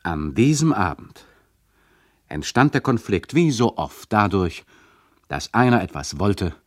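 An actor playing an ironic, chatty radio play narrator who lectures the listeners in a mock-didactic, hesitant way. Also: male voice, on the low side, sing-song, measured pace, no echo, clean studio sound. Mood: wry.

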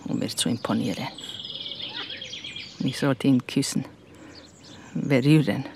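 Radio crime drama: guarded woman, measured and confiding, faint outdoor birdsong behind. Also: a bass voice, creaky, full character voice. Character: guarded, proud Serbian woman confiding in a detective. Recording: faint outdoor background noise.

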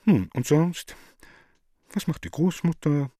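A man playing a haughty, calculating Virgin Mary in a radio play, with an affected, drawn-out manner. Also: fairly high pitch, lively intonation, warm tone, dry recording, clean studio sound. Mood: affectedly friendly. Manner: affected, drawn-out, sprinkled with French.

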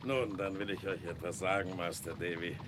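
An actor playing a bashful, awkwardly smitten country carter in a 1960s German radio drama, halting and plain-spoken. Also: male voice, deep voice, steady tone, close to an everyday voice. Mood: shy, earnest. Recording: 1960s radio with clear background noise.

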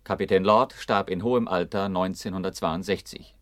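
Actor playing stern male inquiry narrator, low pitch, dry studio sound, terse, formal, documentary radio play. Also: fairly even intonation, moderate pace, dry recording, close to an everyday voice. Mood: sober.

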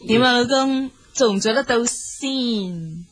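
An actress playing a sociable, bossy young wife in a radio drama, chatty and cajoling in Hong Kong Cantonese. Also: female voice, a little high, takes it slowly. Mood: coaxing. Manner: chatty, cajoling, takes charge. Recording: music behind the voice.

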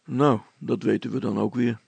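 Casting: male reader as a weary, sober grumbler for a children's story, low and husky, dry and plain-spoken. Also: steady tone, normal speed, darkish tone, no echo. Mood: matter-of-fact. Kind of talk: children's audio story character voice.

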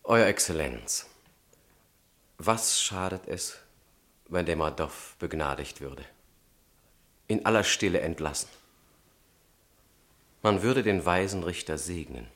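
An actor playing a polite, persuasive young student who serves as a bodyguard in a German radio drama, deferential and measured. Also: male voice, sits low, expressive, a bit bright, dry recording, exaggerated voice. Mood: urging, deferential. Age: young adult.

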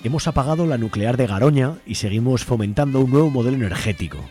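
A man's voice: medium pitch, lively intonation, quick pace, mellow. Spanish man narrating radio over faint music. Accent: Spain Spanish. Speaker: radio show co-host. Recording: music bed behind the voice.

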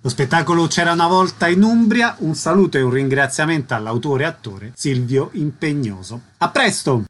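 A man's voice: a little high, animated, moderate pace, few pauses, fairly bright tone. A man hosting a radio programme, presenting guests and asking them questions. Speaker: radio host.

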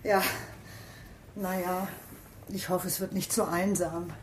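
An actress playing a sympathetic, slightly flustered police station colleague in a naturalistic radio drama, apologetic and hesitant. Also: female voice, fairly low pitch, steady tone, takes it slowly. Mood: apologetic, kindly. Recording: clear background noise.

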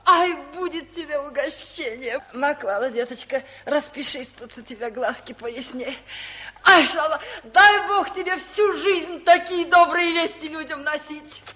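An actress playing an effusive, doting elderly village aunt in a 1950s radio comedy. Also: female voice, high-pitched, measured pace, sharp tone. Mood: warm, bustling. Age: older. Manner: effusive, endearing address, exclamatory. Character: emotional, doting elderly Georgian village aunt, prone to wailing.

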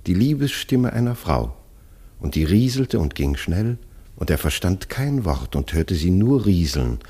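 A man narrating a literary radio play in a husky voice, with lyrical, expressive storytelling. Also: low voice.

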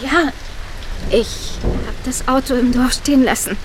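High-voiced, searching young woman. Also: measured pace, background noise. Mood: shy, polite. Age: young adult. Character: long-lost abducted younger sister posing as drenched lost hiker. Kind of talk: naturalistic German radio drama acting.

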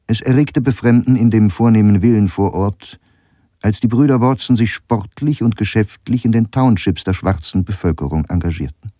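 A man reads scripted documentary narration in a measured, factual way. His voice is fairly low and mellow, recorded very dry in a studio. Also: no room sound.

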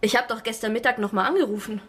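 A flustered, pleading taxpayer. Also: female voice, a little high, very fast, breathy edge. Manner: hesitant, pleading, full of fillers. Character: flustered disorganized woman taxpayer Frau Holm. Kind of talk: radio drama acting.

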